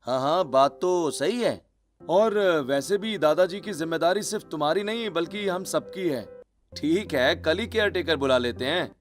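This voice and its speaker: A man's voice: a little high, animated, moderate pace, faint music behind, natural voice. A man voicing a caring, easygoing young husband in cartoon story dialogue, casual and reassuring. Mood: agreeable.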